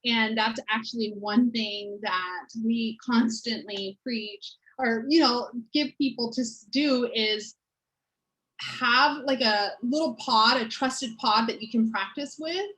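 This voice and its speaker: A woman panelist on a video call, explaining training programs in a measured, conversational way. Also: on the high side, fairly bright tone, low-quality recording. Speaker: panelist, learning program lead. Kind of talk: panel discussion.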